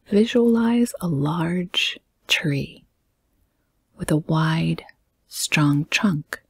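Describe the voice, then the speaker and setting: A woman leading a guided meditation in a soft, breathy, whispery voice, speaking calmly and gently in measured phrases with long pauses. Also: low voice, no room sound. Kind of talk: guided meditation, slow soothing narration.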